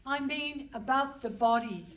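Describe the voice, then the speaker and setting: Radio comedy role: bossy older English female detective played by an actress, brisk and dry, high voice, distant stage mic. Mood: brusque, dry. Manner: brisk, dry, condescending, calling people pet and love. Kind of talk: comic radio play before a live audience. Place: hall stage with a live audience. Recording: distant stage pickup with some noise.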